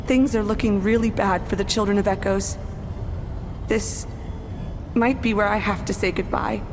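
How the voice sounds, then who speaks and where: An actress playing a worried, caring friend in a video game. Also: female voice, mid-range voice, fairly even intonation, a little slow, long pauses, music in the background, lightly acted. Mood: worried, reluctant.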